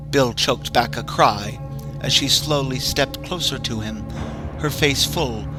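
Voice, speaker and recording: A man narrating a horror story in a measured, ominous way, over background music. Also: mid-range voice. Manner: slow, measured, ominous delivery. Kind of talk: dramatic horror story reading. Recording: music bed under voice.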